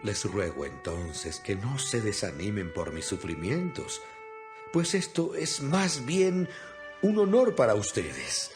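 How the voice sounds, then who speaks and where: Guy playing solemn earnest apostle writing from prison, very expressive up and down, music behind, audio bible. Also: lowish voice, slow. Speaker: Bible narrator. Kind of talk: dramatized scripture reading.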